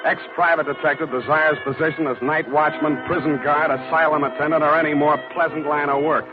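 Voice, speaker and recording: A man's voice: a little high, little pitch movement, fairly quick, lightly acted. An actor with an American accent playing a cynical, wisecracking male private detective in a 1940s radio noir drama. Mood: dry, weary. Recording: muffled vintage radio broadcast with music.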